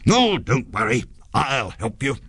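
A man's voice: mid-range voice, very animated, unhurried, fairly bright tone, husky, no room sound, clean studio sound, pitched above the performer's own voice, heavily acted. A man voicing a wicked, bad-tempered male ghost as a storybook character, with a gleeful, menacing manner. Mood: sly.

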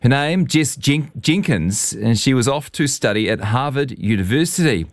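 A man with a New Zealand accent hosting a radio interview, relaxed and chatty. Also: mid-range voice, moderate pace, no room sound. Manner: relaxed, chatty, says "mate". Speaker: radio host.

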